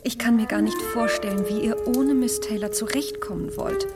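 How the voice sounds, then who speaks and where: An actress playing a gentle, sympathetic young mother who speaks softly and earnestly. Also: fairly high pitch, steady tone, moderate pace, big-room sound, over loud music, close to an everyday voice. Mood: tender. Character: gentle, tender young mother, easily moved. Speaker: actress in a radio play.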